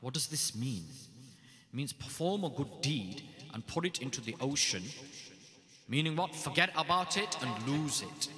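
A man's voice: mid-range voice, lively intonation, normal speed, bright, forceful tone. A man speaking at a religious gathering, delivering an emphatic devotional address that stacks short, repeated phrases before introducing the scholars. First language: not Urdu. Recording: long hall reverb.

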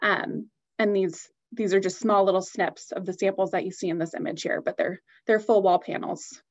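A woman's voice: mid-range voice, steady tone, moderate pace, dull audio. A woman giving an upbeat, enthusiastic product presentation on a video call.

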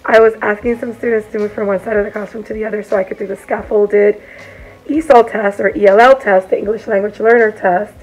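A woman's voice: mid-range voice, steady tone, fairly quick, a little mellow, rough recording. Woman teacher vlogger, losing her voice; casual venting to a phone-selfie in a classroom. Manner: upset, venting. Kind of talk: casual classroom vlog recap. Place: classroom, indoors. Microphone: phone at arm's length.

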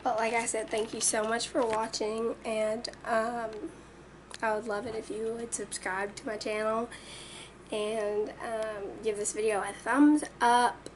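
A teenage girl with a raspy voice chatting earnestly to camera in her bedroom, with lots of ums. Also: a little high, level tone, measured pace. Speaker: vlogger. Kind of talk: casual chat to camera. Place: small bedroom indoors. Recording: distant webcam audio with noise.

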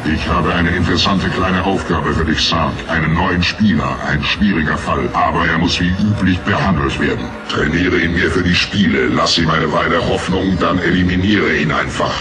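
A man's voice: low-pitched, normal speed, few pauses, lightly acted. A man voicing a cold, tyrannical master computer program in a German radio play, imperious and threatening. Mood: cold, commanding. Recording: radio play over loud music.

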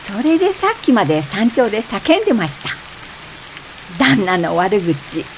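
A woman's voice: medium pitch, big pitch swings, measured pace, background noise. An actress playing a frank married woman fed up with her husband, in conversational, wry radio drama dialogue. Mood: grumbling. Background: rain.

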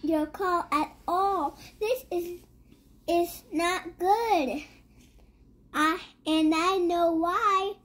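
A child reading a rhyming picture book aloud in a halting way, sounding out and repeating words. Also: extremely high pitch, fairly bright tone. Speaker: young reader.

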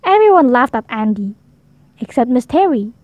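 A woman's voice: fairly high pitch, very animated, moderate pace, a little mellow, no echo. A woman narrating a children's story as a read-aloud storybook reader.

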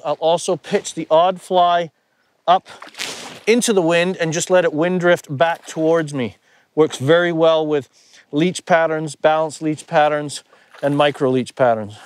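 A man's voice: on the high side, clean tone. A middle-aged man teaching fly fishing outdoors from a small boat on a lake, talking through each step as he plays the fish, on a clip-on mic. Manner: relaxed, explains as he plays the fish. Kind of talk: instructional commentary while fishing.

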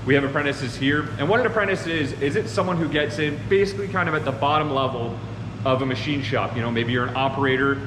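A man's voice: mid-range voice, fast talker. Man talking in an echoey machine shop. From Ontario, Canada. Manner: earnest, talks with his hands, addresses viewers as guys. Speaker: machine shop owner and show host. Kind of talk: casual advice talk to camera. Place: large open machine shop floor, indoors. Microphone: far camera on a tripod. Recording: roomy echoey shop with long reverb and air conditioning hum.

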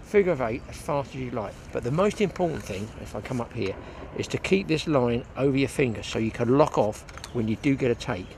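A man's voice: medium pitch, lively intonation, a little fast, gravelly, hardly any pauses, darkish tone. An older man giving a chatty, hands-on fly fishing lesson, talking as he demonstrates on a lakeside bank with a clip-on mic. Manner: chatty, hands-on, thinking aloud. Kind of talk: outdoor fishing tutorial. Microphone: clip-on mic outdoors, some wind. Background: wind and outdoor ambience.

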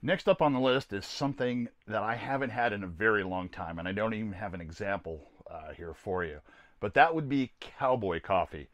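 A man's voice: on the low side, brisk, a bit bright. An older American man giving a casual, plainspoken product review to camera in a folksy, rambling way. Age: older, around 60s. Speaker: host of a camping gear video series. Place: small camper trailer interior.

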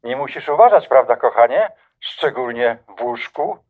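Smug, flirtatious doctor, swooping, muffled line. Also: male voice, mid-range voice, lively intonation, normal speed. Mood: smug, teasing. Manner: teasing, insinuating. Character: smug, flirtatious male doctor taunting his ex-lover. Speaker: actor. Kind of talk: radio drama dialogue.

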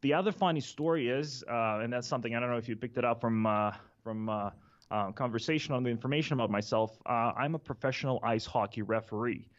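A man's voice: mid-range voice, lo-fi sound. A man from Russia with a Russian accent, a guest talking casually in an office, full of fillers and restarts. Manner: halting, many fillers and restarts. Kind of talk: casual interview talk. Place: office conference room with windows. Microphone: studio mic on a boom, headphones.

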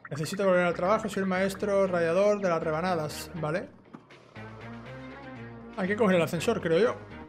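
A young man with a Spain accent plays through a video game and comments as he goes. His voice sits high for a man. He is informal and chatty, switching between asides and reading dialogue aloud, with faint music behind him. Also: moderate pace, pauses often. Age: young adult. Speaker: gaming YouTuber.

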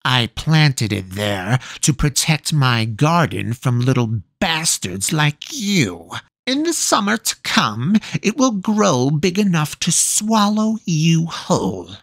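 A man's voice: fairly high pitch, sing-song, takes it slowly, fairly bright tone, no room sound, voice pushed up, full character voice. A man voicing a sneering old witch. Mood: menacing. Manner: sneering, menacing. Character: cackling wicked old witch woman, scornful.

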